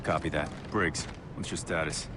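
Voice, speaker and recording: An actor playing a gruff middle-aged covert field agent in a video game, speaking in terse, authoritative commands. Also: male voice, extremely low pitch, steady tone, normal speed, slight background noise. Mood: urgent, commanding. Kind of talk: cinematic video game acting. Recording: loud action music and gunfire behind.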